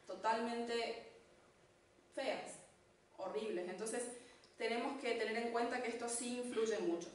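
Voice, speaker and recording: A woman from Argentina with an Argentine accent, giving a church teaching talk in an unhurried way, with fillers and restarts. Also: a little high. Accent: Argentine Spanish. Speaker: church speaker. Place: church hall. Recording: echoey hall, distant mic, faint noise.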